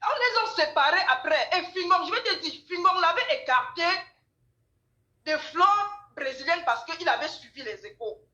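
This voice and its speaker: A woman with a high-pitched, bright voice and a Cameroonian French accent tells a story in casual gossip style. She is emphatic, often repeating her phrases and voicing bits of dialogue. The sound is roomy and echoing. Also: expressive, normal speed, highs cut off. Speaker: guest telling her account.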